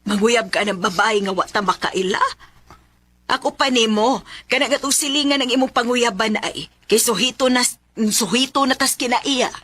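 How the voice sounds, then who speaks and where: A woman's voice: fairly high pitch, moderate pace, fairly bright tone. A woman with a Cebuano Filipino accent playing a nosy, protective mother in a radio drama. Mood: nosy, wary.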